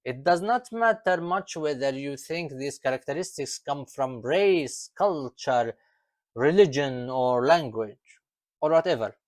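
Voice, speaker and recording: An author whose first language is Arabic, presenting his book in a measured, explanatory talk in English, ticking off lists slowly with falling endings. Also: medium pitch, expressive, dry recording, clean studio sound. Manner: deliberate, lists items slowly with falling ends.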